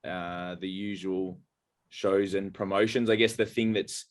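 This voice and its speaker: A man co-hosting a casual podcast, telling stories in a rambling, halting way with many fillers. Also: sits low, steady tone. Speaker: podcast co-host. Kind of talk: casual chat.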